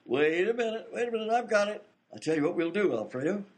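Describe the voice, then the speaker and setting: An actor playing a flustered, wisecracking vaudeville theater manager with wry banter in a radio comedy. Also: male voice, high voice, expressive. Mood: flustered, scheming. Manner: wry, fast-talking banter. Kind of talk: old-time radio comedy acting.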